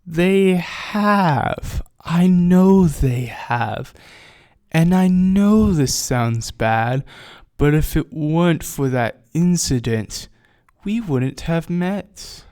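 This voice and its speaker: A man's voice: on the high side, lively intonation, darkish tone, exaggerated voice. A man voicing a devoted, reassuring young male pony sweetheart in an earnest way for a fan fiction story. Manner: slow, earnest delivery.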